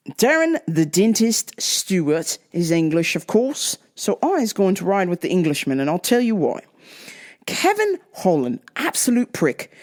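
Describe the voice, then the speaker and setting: Lively, slow-talking woman host. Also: medium pitch. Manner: animated, crude, joking, frequent laughter. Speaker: podcast host. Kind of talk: casual chat making fight picks.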